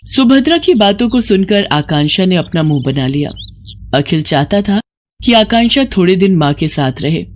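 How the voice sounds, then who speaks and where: A narrator reading a scripted moral bedtime story. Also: mid-range voice.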